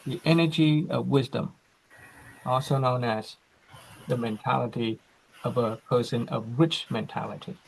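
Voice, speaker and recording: A man hosting an online class on a video call, slow and reflective, with frequent fillers. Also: mid-range voice, steady tone, vocal fry, a little hiss or hum, rough recording.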